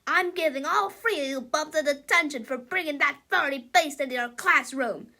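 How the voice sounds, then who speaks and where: A woman voicing a bossy, angry man in a schoolboy's body for a storybook, commanding and forceful. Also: sits high, moderate pace. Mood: indignant, bossy. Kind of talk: storybook character voice.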